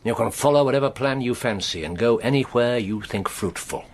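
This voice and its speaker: An actor playing a senior British official who speaks in a measured, grave way, sometimes dropping to near-whispers, in a radio drama. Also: male voice, mid-range voice, lightly acted. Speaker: Sir Walter, government spymaster.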